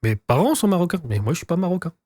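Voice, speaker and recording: A man in his thirties from France, co-hosting a podcast in loud joking banter, provocative and teasing, swearing a lot. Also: high voice, very animated, racing, no echo, clean studio sound. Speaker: podcast co-host.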